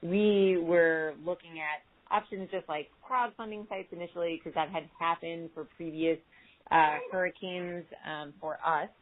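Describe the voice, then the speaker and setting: A woman's voice: lowish voice, steady tone, unhurried. A woman giving an informal, wordy account to colleagues on a conference call in a conversational way. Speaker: corporate philanthropy staffer on a call. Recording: muffled, band-limited conference call line.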